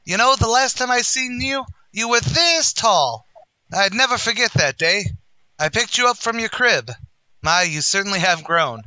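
A man plays a bluffing, boastful tavern manager in old-time radio comedy style. His voice is high and very sharp, swooping through folksy, mangled-grammar patter. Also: animated, moderate pace, dry recording, full character voice, poor audio. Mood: hearty, avuncular. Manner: folksy, ungrammatical, bluffing wise-guy delivery. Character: blustering, boastful, uneducated tavern manager uncle.

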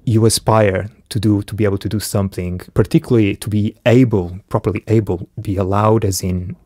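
A man's voice: fairly low pitch, fairly even intonation, a little fast, mellow, dry recording, clean studio sound. A middle-aged man raised in Portugal, a filmmaker talking reflectively in a podcast conversation, with hesitant restarts.

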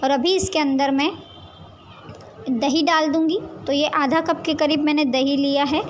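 A woman with a high, light voice talks viewers through a recipe step by step. Her delivery is calm and explanatory, often pointing things out as she goes. A faint hiss of background noise sits under the voice. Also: measured pace, lo-fi sound. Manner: calm, explanatory, addresses viewers directly with frequent "see this". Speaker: home cooking channel host. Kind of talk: step-by-step cooking demonstration voice-over. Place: home kitchen.

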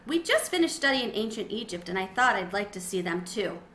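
A woman's voice: medium pitch, sing-song, fairly quick, a bit bright. A woman voicing an earnest, imaginative young schoolboy in a children's storybook, plain-spoken. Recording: roomy echo, faint background noise.

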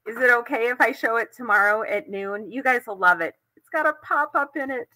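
A woman's voice: fairly high pitch, brisk, pushed and bright, low-quality recording. An older woman with an American accent hosting a craft tutorial live from home, chatting casually as she demonstrates. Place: indoors at home.

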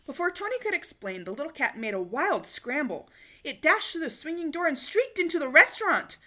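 A woman reading a children's picture book aloud in an expressive, emphatic way, acting it out for young listeners. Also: high-pitched, fairly quick. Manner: animated, emphatic, acts out dialogue. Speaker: storybook reader. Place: small living room, indoors on a couch.

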